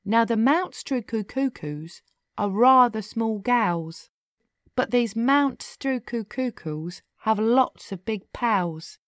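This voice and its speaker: A woman narrating a rhyming children's story aloud in an expressive, deliberate, rhythmic way. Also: on the high side, dry recording, studio quality. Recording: music behind the voice. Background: music.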